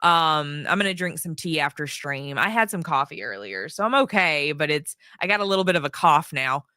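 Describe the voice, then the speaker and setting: A woman streamer chatting casually to her viewers, her voice hoarse from yelling, rambling with lots of ums and restarts. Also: low voice, lively intonation, normal speed, no echo, clean studio sound. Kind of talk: casual livestream chat.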